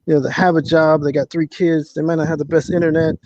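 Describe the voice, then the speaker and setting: A man with a moderately high voice speaks as an interview guest. He is earnest and conversational, circling back over words while making his point. The sound is dry and a bit dull, like a limited call connection. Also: flat delivery, quick pace, darkish tone, no room sound.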